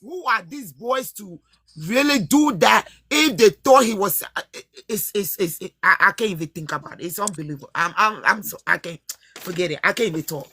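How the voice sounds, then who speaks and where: A woman's voice: mid-range voice, lively intonation, fairly quick, sharp tone. A woman joining an online live chat as a guest, emotional and stammering, often pleading with others to let her finish. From Ghana.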